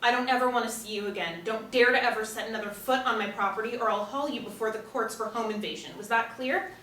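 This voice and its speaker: A woman's voice: fairly high pitch, fast, pushed and bright. A woman, apparently in her thirties, playing a blunt, sarcastic, hurt woman at a script reading. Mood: furious. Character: sharp-tongued, sceptical, hurt woman. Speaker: actress at a screenplay table reading. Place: indoor room, seated. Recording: echoey room, distant mic, faint noise.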